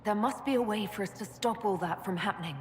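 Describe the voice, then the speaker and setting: An actress playing an earnest, compassionate heroine in a video game, sincere and reassuring. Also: female voice, mid-range voice, fast talker, lightly acted. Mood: concerned. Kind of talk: dramatic video game cutscene acting. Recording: echoey hall, faint noise.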